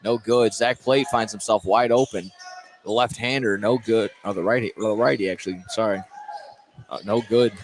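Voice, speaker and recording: A man doing live play-by-play of a high school basketball game, often repeating and correcting himself as he goes. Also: fairly even intonation. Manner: halting, repeats and corrects himself mid-call. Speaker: play-by-play commentator. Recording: noisy live courtside radio broadcast.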